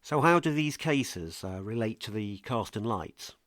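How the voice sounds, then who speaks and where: A man's voice: lowish voice, animated, a little slow, a bit bright, no echo, studio quality. A man hosting a scripted podcast, narrating and putting interview questions to a guest.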